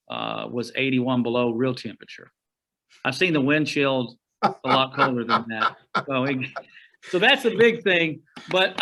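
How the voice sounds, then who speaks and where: Bright-voiced folksy man from Oklahoma. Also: a little high. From Oklahoma, USA. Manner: rambling and folksy, frequent "uh" and restarts. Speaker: guest, outdoor writer. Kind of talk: casual storytelling interview.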